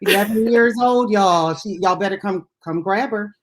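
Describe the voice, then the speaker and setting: A woman's voice: medium pitch, normal speed. A woman co-hosting a casual music chat show as a DJ, talking in an informal, chatty and giggly way. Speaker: radio DJ and co-host.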